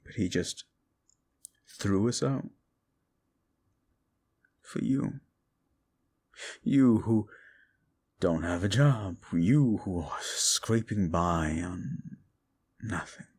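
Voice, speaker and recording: Guy voicing a super deep gravelly demon, ancient and bitter, slow and scornful. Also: full character voice. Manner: contemptuous, swings from hushed to shouting. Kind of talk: audio drama roleplay to a listener.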